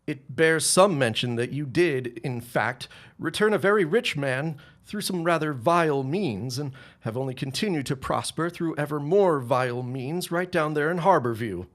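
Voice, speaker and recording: 男性が演じる反抗的で辛辣な息子. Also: male voice, on the high side, animated, close to an everyday voice. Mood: cold, sarcastic. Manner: formal, measured, cutting. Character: defiant, contemptuous grown son of a rich reverend. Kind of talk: period audio drama acting.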